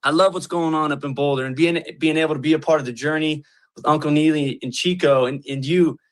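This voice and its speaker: A young man in his twenties from Colorado, talking earnestly as a guest. His voice sits a little high, and he stumbles through restarts and fillers. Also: fairly even intonation. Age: 20s. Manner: earnest, halting, many fillers. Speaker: guest, community founder. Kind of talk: casual conversation.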